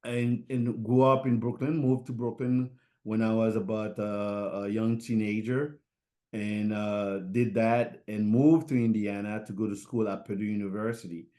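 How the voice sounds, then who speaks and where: A middle-aged man from Haiti answering interview questions, halting with many fillers and restarts. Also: on the low side, level tone, warm tone. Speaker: guest, fashion designer.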